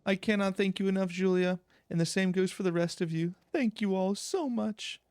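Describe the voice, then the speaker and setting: A man voicing an earnest, grateful and flustered engineer from a fantasy game, speaking haltingly. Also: sits high, darkish tone, clean tone, dry recording, pitched above the performer's own voice.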